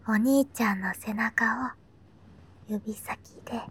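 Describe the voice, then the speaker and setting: A woman voicing a teasing, doting schoolgirl little sister in a whispered ASMR roleplay, breathy and playful. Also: fairly high pitch, heavily acted. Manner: teasing, playful, slow and soft. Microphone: close microphone.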